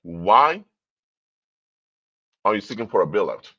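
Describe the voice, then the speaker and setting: Deep-voiced lecturing man, lo-fi sound. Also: very animated, unhurried, slightly rough. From Curaçao. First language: Papiamento. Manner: lecturing, rhetorical questions. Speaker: commentator. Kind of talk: livestream commentary.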